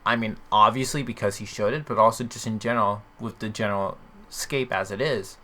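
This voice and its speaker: A man's voice: lowish voice, fairly even intonation, a little fast, pushed and bright. A man chatting casually and informally as a podcast host, reacting conversationally to his co-host. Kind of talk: casual chat.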